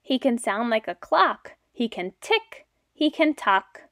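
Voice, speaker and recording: A young woman teaching and reading aloud to small children in simple, deliberate phrases. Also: fairly high pitch, big pitch swings, a bit bright. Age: young adult. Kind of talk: children's read-aloud lesson.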